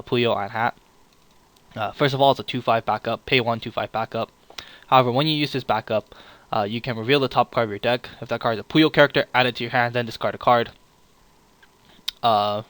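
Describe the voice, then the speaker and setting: A man hosting a casual card game review, a little sick, talking in a relaxed and apologetic way with many filler "uh"s. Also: mid-range voice, no echo. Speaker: channel host reviewing card leaks.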